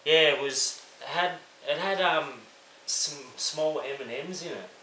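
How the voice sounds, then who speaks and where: A man's voice: a little high, unhurried, bright, forceful tone. An Australian man chatting casually on a phone call, matey and rambling in his manner. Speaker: prank caller. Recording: roomy, faintly noisy, echoing phone-call recording.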